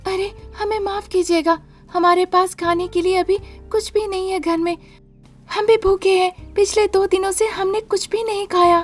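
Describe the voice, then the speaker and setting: A woman's voice: extremely high pitch, steady tone, moderate pace, pitched above the performer's own voice. A woman voicing a frightened, polite young wife who pleads plaintively in a dramatised story, with music behind her. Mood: anxious, apologetic. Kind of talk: dramatised Hindi audio story.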